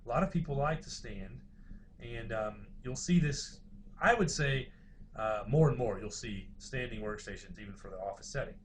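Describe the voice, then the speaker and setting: A man's voice: mid-range voice, moderate pace, a little hiss or hum. A man giving an informal university lecture, explaining things in a hesitant, hedging way.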